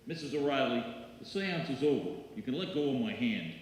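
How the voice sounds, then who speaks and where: A man playing a sardonic, deadpan neighbor who delivers sarcastic wisecracks in live old-time radio comedy. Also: mid-range voice, a little fast, natural voice. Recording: noisy, very echoey live hall.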